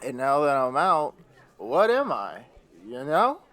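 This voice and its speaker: Man playing a weary posh old gentleman, deep voice, slow halting delivery, mournful, radio play. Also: huge pitch swings, exaggerated voice. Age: older. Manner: halting, heavy, measured. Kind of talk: audio drama acting.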